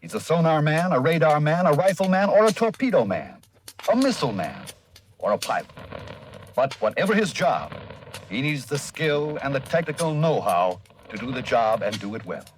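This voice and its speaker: A man delivering solemn, measured, oratorical scripted narration as an old-time radio announcer. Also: a little high, big pitch swings. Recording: old radio recording with faint noise.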